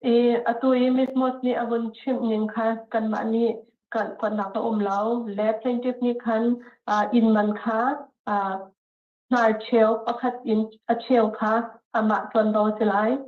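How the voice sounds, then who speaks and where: A woman working as a court interpreter over a phone line, speaking with hesitant pauses and fillers. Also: on the high side, level tone. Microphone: phone call. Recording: tinny echoey phone line.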